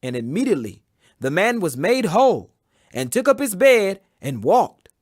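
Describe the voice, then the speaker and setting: A man giving a solemn, emphatic and measured dramatic reading of scripture as an audiobook. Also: high-pitched, big pitch swings, husky, no echo, clean studio sound. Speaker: Bible reader.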